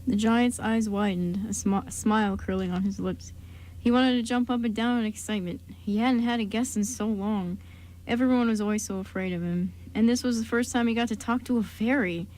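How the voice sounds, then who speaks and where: A woman's voice: medium pitch, fairly quick, a little mellow, poor audio. A woman reading scripted story narration as the narrator of a radio play.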